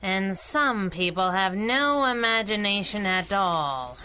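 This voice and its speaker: A woman's voice: medium pitch, animated, takes it slowly, pushed and bright, slight background noise. A woman playing a spunky, restless young female adventurer and sidekick, brash, slangy and teasing, in old-time radio adventure style.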